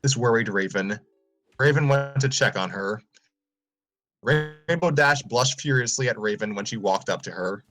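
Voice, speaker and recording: A man's voice: mid-range voice, moderate pace, a bit bright, faint music behind. A man reading fan fiction aloud on a live stream, breaking off for comic asides.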